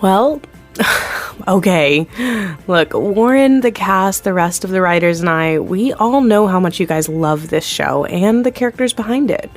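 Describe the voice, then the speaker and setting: A giggly, friendly producer guest. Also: female voice, mid-range voice, lively intonation, unhurried, soft background music. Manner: warm, giggly, conspiratorial.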